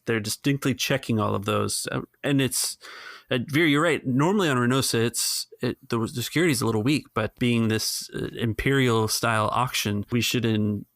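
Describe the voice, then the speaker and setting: A man's voice: mid-range voice, a bit bright, dry recording, studio quality. A man acting as game master and podcast host, talking casually.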